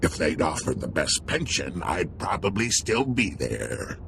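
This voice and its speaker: Gravelly, deep alien villain. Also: male voice, sing-song, noisy background, heavily acted. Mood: proud menace. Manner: slow, taunting, boastful. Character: proud, menacing alien male ex-Republic soldier, taunting villain. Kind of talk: video game villain acting.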